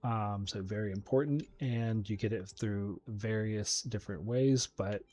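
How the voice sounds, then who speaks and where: A man's voice: fairly low pitch, measured pace, mellow, highs cut off. A man giving casual, explanatory commentary as he hosts a video game playthrough. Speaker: gaming video host.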